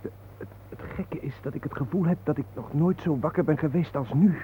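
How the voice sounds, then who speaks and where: A man's voice: a little high, a little fast, lightly acted. Troubled family man acted on tinny radio. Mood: despairing. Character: troubled ordinary family man, defensive and weary. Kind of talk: naturalistic radio drama acting. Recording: tinny narrow-band old radio recording, hissy.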